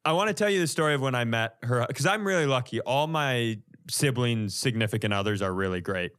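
A man with a mid-range voice and a very lively, swinging pitch. He tells stories casually as a comedy podcast co-host, acting out what people said in an animated way. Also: fairly quick, smooth. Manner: animated, acts out quoted lines. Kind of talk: casual comic storytelling.

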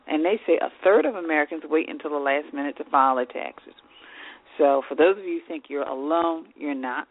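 Woman, nasal and congested, podcast host reading tips, fry in voice, dry close sound. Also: deep voice, no echo. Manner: reads lists of tips with fillers. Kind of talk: advice podcast talk. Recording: tinny phone-like line.

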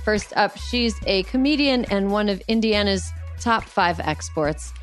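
A woman's voice: mid-range voice, animated, measured pace, faint music behind. A woman hosting a podcast, chatting casually and laughing often. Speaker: podcast host. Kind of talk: casual chat and read ad.

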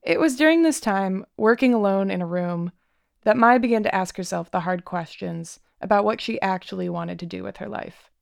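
A woman reporter narrates a feature story in a measured, scripted way. Her medium-pitched voice has a gravelly creak. The recording is dry and close. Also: no echo.

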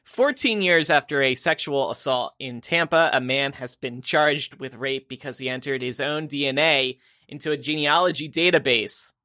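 A man's voice: mid-range voice, moderate pace, hardly any pauses, bright, forceful tone, no echo, studio quality. A man co-hosting a casual panel podcast, asking questions and presenting news items. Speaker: podcast co-host.

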